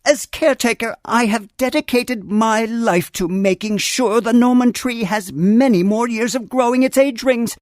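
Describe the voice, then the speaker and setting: A woman voicing a wise, earnest creature who tends a great tree in a children's computer game, with theatrical, formal storybook phrasing and gentle humor. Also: a little high, normal speed, few pauses, no echo, studio quality, close to an everyday voice. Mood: grave, earnest. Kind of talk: scripted game character narration.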